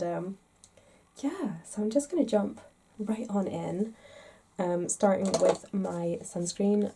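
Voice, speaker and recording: A woman's voice: medium pitch, gravelly, mellow, husky. Stuffed-up woman chatting about makeup. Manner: chatty and rambling, self-deprecating. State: congested, stuffed-up nose. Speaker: makeup vlogger. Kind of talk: casual chat to camera.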